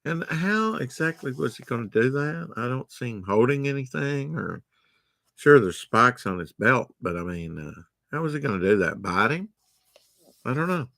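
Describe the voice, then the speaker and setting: A man's voice: medium pitch, very animated, moderate pace, creaky, pushed and bright, no room sound, clean studio sound. A man hosting a late-night storytime, reading a storybook aloud and breaking off into rambling, sarcastic asides. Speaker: storytime host.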